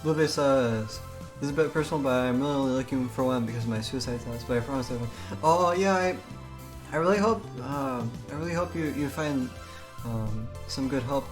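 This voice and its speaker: A man talks casually on a live stream, moving between chat and reading from a game. His delivery is hesitant and stammering, often doubling back on words. His pitch sits in an average male range, and music plays clearly behind him. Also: animated, a little fast. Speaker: live streamer reading a visual novel aloud.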